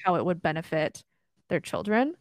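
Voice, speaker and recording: A woman's voice: lowish voice, sing-song, normal speed, no echo, studio quality. A young woman born in Korea, co-hosting a podcast, talking in a reflective, thinking-aloud way with many fillers. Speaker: podcast co-host. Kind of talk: casual chat.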